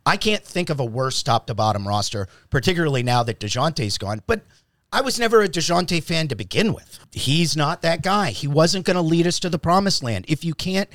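A man's voice: on the high side, lively intonation, brisk, fairly bright tone, dry recording. A man co-hosting a casual sports podcast, arguing basketball bluntly and emphatically with firm opinions.

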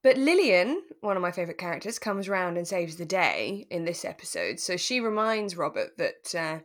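Woman, slightly low voice, relaxed podcast chat, reflective, tag questions. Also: moderate pace. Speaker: podcast co-host. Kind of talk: relaxed conversational drama recap.

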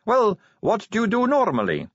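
A male reader puts on a young female vampire officer for a storybook. The voice sits high above his own and swings animatedly, teasing, breezy and sure of itself. The sound is slightly dull. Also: wildly swooping pitch, normal speed, dry recording, exaggerated voice. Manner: breezy, needling, coaxing.

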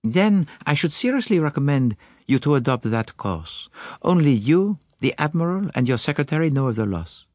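A man's voice: on the high side, very animated, moderate pace, a little mellow, no room sound, studio quality, pitched above the performer's own voice. A man voicing a courtly, confident Belgian detective with a French-speaking accent and formal, precise phrasing, in an audiobook story. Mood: confident, persuasive. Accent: French-speaking Belgian, put on.

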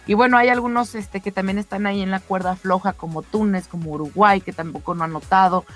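A woman's voice: medium pitch, fairly even intonation, quick pace. Female radio co-host, Mexican accent, conversational sports news delivery, chatty, faint music behind. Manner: chatty, addresses co-hosts directly. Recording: music under the voice.

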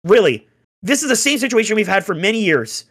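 A young man on a casual sports chat, ranting in an exasperated way with rhetorical questions, his voice going hoarse. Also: sits high, very animated, brisk, a bit bright. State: getting hoarse. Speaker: podcast co-host, hockey fan.

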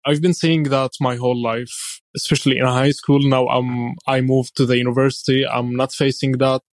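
A man's voice: medium pitch, level tone, moderate pace, dry recording. A seventeen-year-old man from Syria, an Arabic speaker, answering interview questions conversationally. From Aleppo, Syria. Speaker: podcast guest, student.

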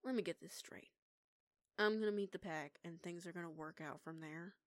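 A young woman acts out an eighteen-year-old lone-wolf werewolf with a punk streak for an audio roleplay. Her voice sits a little low for a woman and is captured very dry. She talks casually and sarcastically, swears freely, and has a prickly, defiant temper. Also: no echo, natural voice. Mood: calmer, sheepish. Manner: sweary, casual, sarcastic, halting when flustered. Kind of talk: intimate one-sided audio roleplay acting.

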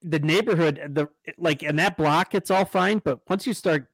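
A man's voice: fairly high pitch, normal speed, breathy edge, no room sound. A man co-hosting a podcast, telling stories in a rambling casual way. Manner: rambling storyteller, lots of restarts and laughs. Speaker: podcast co-host. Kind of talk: casual chat.

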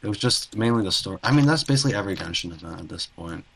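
Male co-host for gaming talk. Lower-pitched voice, informal bantering conversation. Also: animated, racing, vocal fry, fairly bright tone, breathy edge. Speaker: podcast co-host. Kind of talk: casual chat about a video game.

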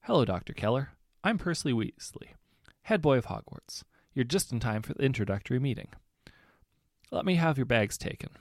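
A man's voice: mid-range voice, big pitch swings, moderate pace, no room sound, studio quality, pitched above the performer's own voice. A man voicing a polite, formal and earnest teenage head boy.

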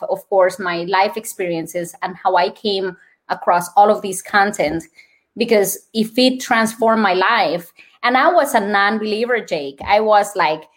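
Woman with a Colombian accent. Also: medium pitch. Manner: earnest, explanatory, lists points. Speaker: nurse and wellness speaker. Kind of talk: podcast interview.

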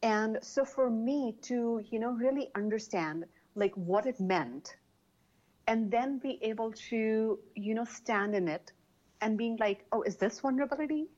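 A woman who speaks English as a second language, chatting casually as a guest author, animated, laughing often and restarting her sentences. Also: on the high side, measured pace, dull audio. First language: not English. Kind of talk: casual interview chat.

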